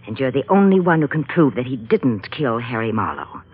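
A cornered, desperate wife in a 1940s radio melodrama. Her breathless voice is husky and swings widely in pitch. The recording is narrow and tinny, like an old broadcast. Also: sing-song, a little fast, heavily acted. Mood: accusing, bitter. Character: desperate unhappy wife turned killer, cornered and cracking up. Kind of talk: 1940s radio drama acting.

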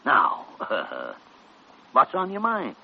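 An older man acting a crusty, teasing senior physician in an old-time radio drama, gruff, blustery and drawling. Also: medium pitch, huge pitch swings, drawn-out words, exaggerated voice. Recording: muffled, noisy vintage radio recording.

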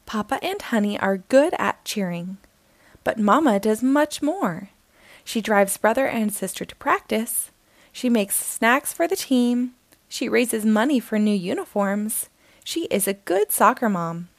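Woman storytime reader, bright high voice with sing-song lift, dry close mic. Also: no echo. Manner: slow, expressive, sing-song reading. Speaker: storytime host reading aloud. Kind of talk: children's picture book read-aloud.

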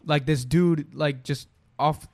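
A young man guesting on a podcast, his first language Italian, speaks in a loose, slang-filled, easygoing way. His voice sits slightly high for a man, and he often trails off and restarts. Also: steady tone, takes it slowly. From Brooklyn, New York. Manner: laid-back slangy talk with many fillers and restarts. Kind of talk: casual chat.

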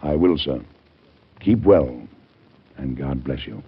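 A man's voice: rumbling low, animated, slow pace, slightly rough, heavily acted. An American man acting an earnest, formal, beleaguered but resolute president in an old-time radio drama. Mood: grateful, respectful. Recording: muffled old radio show recording.

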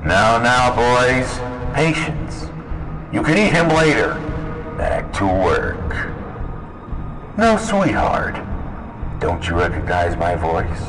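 A man's voice: on the low side, sing-song, slow, long pauses, music in the background, full character voice, low-quality recording. A man playing a flamboyant, gleefully menacing clown supervillain in an audio drama, with a theatrical, mocking delivery. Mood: mocking menace. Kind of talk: audio drama villain acting.

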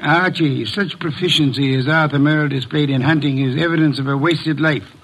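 A ponderous, self-important detective in an old radio mystery. His voice sits fairly high for a man, and he grumbles in long, formal sentences, balking at being hurried. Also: fairly even intonation, fairly bright tone, low-quality recording. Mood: grumbling, irritated. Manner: pompous, grumbling, formal wordy speech. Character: bulky, balky, pompous genius detective who loathes hurry. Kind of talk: 1940s-50s American radio drama acting.

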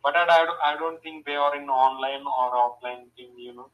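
Hesitant Indian-accented man, mid pitch. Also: fairly even intonation, normal speed, highs cut off. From Andhra Pradesh, India. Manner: hesitant, repeating words. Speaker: online caller. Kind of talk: casual chat. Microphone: online voice call.

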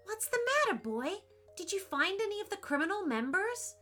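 A woman's voice: extremely high pitch, big pitch swings, moderate pace, bright, forceful tone, soft background music, voice pushed up, exaggerated voice. A woman voicing an eager, polite young boy who earnestly calls others sir in a children's story.

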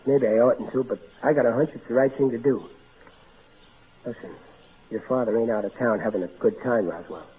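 A man's voice: mid-range voice, a little fast, lightly acted. An actor playing a big-hearted, blustery middle-aged everyman in old-time radio style. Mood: gentle, somber. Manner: folksy, ungrammatical, earnest, blustery. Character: big-hearted, folksy, exasperated working-class everyman. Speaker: actor in a radio comedy-drama. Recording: narrow tinny vintage radio broadcast, hissy.

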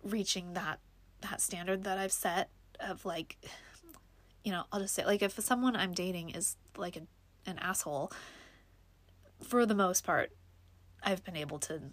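A woman's voice: on the low side, measured pace, pauses often, no room sound. A young woman talking casually to her viewers in a vlog, stuffed up from allergies, reflective and full of ums and likes. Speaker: vlogger. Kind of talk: casual personal vlog talk.

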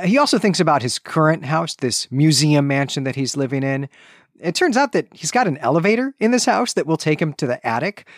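A man co-hosting a podcast, discussing and recapping literature in a measured, analytical way, thinking aloud as he goes. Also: fairly high pitch, dry recording, clean studio sound. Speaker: podcast co-host.

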